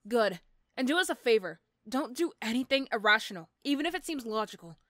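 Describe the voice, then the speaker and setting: A woman's voice: fairly high pitch, animated, fairly quick, fairly bright tone, no echo, studio quality. A woman playing a sardonic, threatening but begrudgingly fond female supervillain in an ASMR roleplay. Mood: stern warning.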